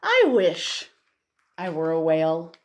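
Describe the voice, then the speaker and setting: A woman in her thirties, a children's librarian, reads a picture book aloud and chats to young listeners with expressive wonder and drawn-out emphasis. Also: lowish voice, noisy background. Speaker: children's librarian hosting storytime. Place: living room at home, indoors.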